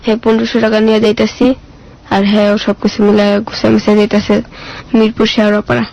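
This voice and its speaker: A woman's voice: medium pitch, monotone, fast talker, gravelly, a little mellow, lo-fi sound. A woman with a colloquial Bangladeshi Bengali accent tells her life story as a guest, plain-spoken and casual, acting out remembered dialogue as she goes. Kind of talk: personal storytelling in casual speech.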